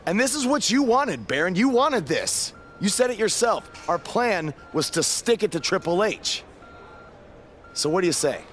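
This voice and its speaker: A cocky wrestler from a video game, plotting mischief with brash eagerness. His slightly high voice swings up and down as he pushes his scheme, over clearly noisy ambience. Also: sing-song, normal speed, a bit bright. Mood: eager, persuasive. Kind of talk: video game cutscene acting.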